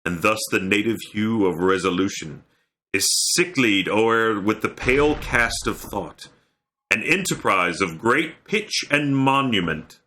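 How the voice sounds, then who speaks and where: A man performing a troubled, brooding young prince in a Shakespearean stage soliloquy, with measured, solemn phrasing. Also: lowish voice, animated, bright, forceful tone. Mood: resigned. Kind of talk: Shakespearean dramatic recitation.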